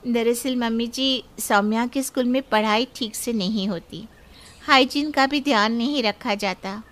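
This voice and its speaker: A woman voicing a young mother who calmly stands up for her daughter. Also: fairly high pitch, unhurried. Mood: earnest.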